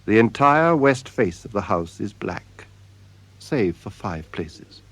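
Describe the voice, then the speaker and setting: A man narrating a radio drama in a solemn, measured way, with deliberate dramatic pauses. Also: lowish voice, lively intonation, fairly bright tone, a little hiss or hum. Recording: radio drama with music behind. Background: music.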